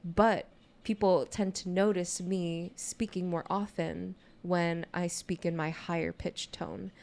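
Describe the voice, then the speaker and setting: A young adult woman with a naturally low voice chats casually on a podcast, speaking in a candid, informal way peppered with "you know". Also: fairly even intonation, slow, vocal fry, a little mellow. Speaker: podcast co-host. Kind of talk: casual conversational podcast chat.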